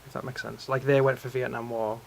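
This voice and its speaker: Male teacher, mid-pitched with a creaky voice, conversational and probing, faint noise behind. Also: little pitch movement, fairly quick. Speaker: teacher giving feedback. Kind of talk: informal questioning and critique.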